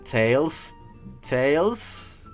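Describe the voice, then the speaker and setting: Man, scripted commentary, theatrical, sardonic, very animated intonation, faint music behind. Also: medium pitch, very slow. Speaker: host of a story-reading review channel. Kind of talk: scripted commentary with dramatic reading.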